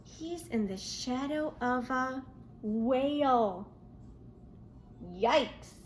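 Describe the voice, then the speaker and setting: A woman reading a picture book aloud to young children in an expressive, questioning way. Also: sits high, drawn-out words, slight background noise. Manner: expressive, asks the listener questions. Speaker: children's storybook reader.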